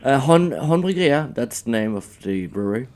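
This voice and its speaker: A man's voice: medium pitch, lively intonation, normal speed, a little mellow. A man in his thirties from Norway with a Norwegian accent, a brewery sales guest talking casually with many fillers and self-deprecating jokes. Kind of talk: casual radio interview.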